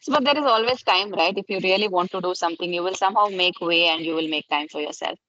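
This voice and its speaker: A woman's voice: lowish voice, fast talker, pushed and bright, lo-fi sound. A woman in her thirties from Karnataka whose first language is Kannada. She speaks English with an Indian accent and leads a patient, question-by-question conversation practice. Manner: patient, asks many simple questions.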